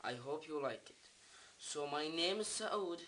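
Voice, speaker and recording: A man from the Middle East, a native Arabic speaker with an Arabic accent, giving a casual unboxing demonstration in simple, halting, non-native phrasing. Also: a little high, expressive, a bit bright. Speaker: video creator, product reviewer. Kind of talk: casual unboxing vlog, talking while demonstrating.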